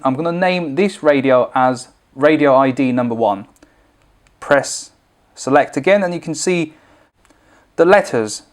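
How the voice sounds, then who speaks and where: Guy doing a how-to demo, medium pitched voice, plain informal step by step explaining. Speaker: product tutorial presenter.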